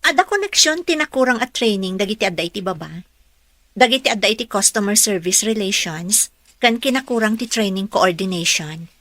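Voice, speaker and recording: A woman's voice: mid-range voice, animated, brisk, fairly bright tone, no echo, lightly acted. A woman with a Filipino accent playing an eager, polite young graduate in a radio drama. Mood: earnest, respectful. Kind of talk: Ilocano radio drama acting.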